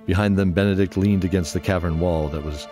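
A man's voice: low-pitched, fairly even intonation, a little fast, mellow. A man giving scripted narration for a fantasy audio drama. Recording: music under the voice. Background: music.

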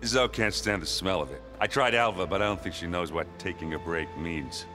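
A very low-voiced soldier played by a man in a video game, gruff but good-hearted. He talks like a joking bloke, self-deprecating and a bit hesitant, over clearly audible music. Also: a little fast, natural voice. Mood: jovial. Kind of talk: video game dialogue acting. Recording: prominent music behind the voice.

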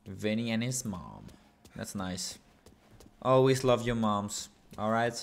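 A man's voice: lowish voice, slow pace, vocal fry. A young man giving playful, joking let's-play commentary. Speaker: gaming youtuber.

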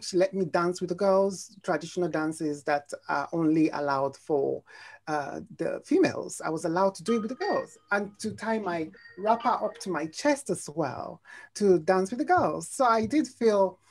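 A young adult woman with a Nigerian accent and a fairly low voice, speaking over a video call from a room at home. She recalls her childhood in a reflective, unhurried way, pausing on fillers and restarting sentences. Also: a little mellow. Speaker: interview guest. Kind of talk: personal storytelling in an interview.

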